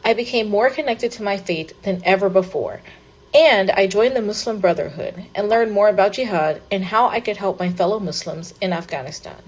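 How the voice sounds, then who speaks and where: A woman's voice: mid-range voice, normal speed, breathy edge, close to an everyday voice, rough recording. A woman reading as a young man telling his story. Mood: earnest. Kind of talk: scripted first-person narration.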